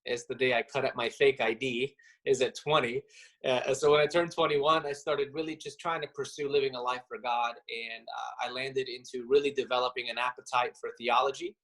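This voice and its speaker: Man, interview guest, thoughtful, pauses and fillers. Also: medium pitch, a bit bright, rough recording. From Romania. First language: Romanian. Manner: reflective, halting, many fillers. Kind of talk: casual interview.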